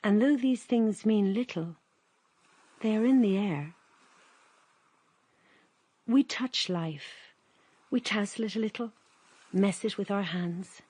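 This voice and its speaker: Slow, breathy woman narrator. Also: medium pitch, no room sound. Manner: quiet, lyrical, measured. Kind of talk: scripted radio drama narration.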